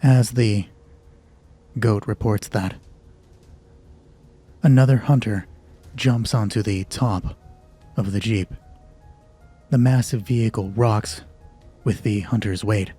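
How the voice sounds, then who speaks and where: A man's voice: lowish voice, fairly even intonation, takes it slowly, long pauses, warm tone, slightly rough, soft background music. A man narrating a solo tabletop roleplay as game master, thinking aloud in a conversational way.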